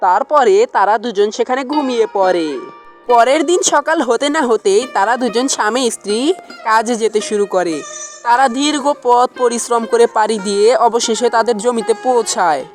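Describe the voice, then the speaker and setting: A woman's voice: a little high, normal speed, faint music behind. A woman reading scripted storybook narration for a cartoon.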